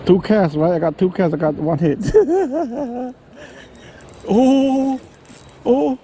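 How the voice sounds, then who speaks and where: A man giving excited running commentary while he fishes from a riverbank, talking to himself and repeating words. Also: extremely high pitch, takes it slowly. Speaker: fishing vlogger. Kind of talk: live commentary while fishing. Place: outdoors on a riverbank. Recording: outdoor recording with steady background noise.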